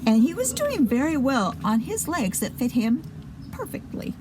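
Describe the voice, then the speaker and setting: A woman's voice: high voice, big pitch swings, normal speed, background noise. A woman hosting a children's show and telling a story, with chatty asides to her listeners.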